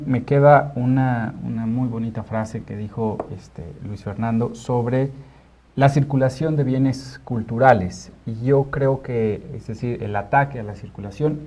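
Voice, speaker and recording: Mellow man hosting a discussion. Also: medium pitch, muffled recording. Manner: halting, rephrasing often, seeking agreement. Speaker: talk show host. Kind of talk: conversational panel discussion. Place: internet TV studio.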